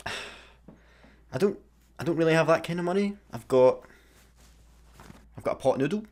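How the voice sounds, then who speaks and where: An actor playing a hapless, good-natured, sheepish satellite worker with a Scottish accent in a sci-fi comedy radio play. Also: male voice, on the high side, measured pace, darkish tone, close to an everyday voice.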